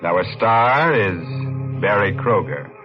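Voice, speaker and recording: A man introduces a 1940s radio mystery as its host. His voice is fairly low, with a sly, playful delivery. The sound is dull, with faint music behind. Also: sing-song, slow.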